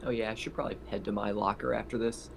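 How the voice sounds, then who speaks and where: A man playing a tabletop game, chatting casually and tentatively thinking through his moves out loud. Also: lowish voice, steady tone, quick pace, gravelly, a little hiss or hum.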